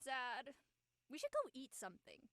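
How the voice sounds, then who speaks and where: A woman's voice: sits high, huge pitch swings, moderate pace, fairly bright tone, no room sound, exaggerated voice. A woman playing a bubbly, affectionate, feisty young friend in casual scripted dialogue.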